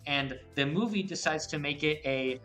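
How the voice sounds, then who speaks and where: A young man co-hosting a podcast, talking in a loose, excitable way with lots of restarts. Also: on the high side, moderate pace, faint music behind. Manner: excitable, rambling with many restarts. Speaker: podcast co-host. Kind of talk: casual chat.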